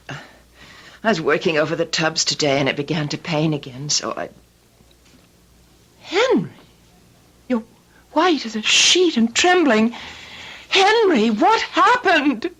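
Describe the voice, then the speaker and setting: An actress playing an anxious, weary, devoted working wife in an old-time radio drama, halting and distressed. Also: female voice, mid-range voice, very animated, fairly bright tone, slightly rough, exaggerated voice. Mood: worried disbelief.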